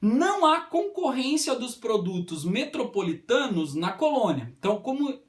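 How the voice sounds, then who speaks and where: A man's voice: extremely high pitch, very animated, normal speed. A man with a Brazilian accent giving an informal history lecture, explaining didactically and often adding short check-in tags. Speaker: history teacher.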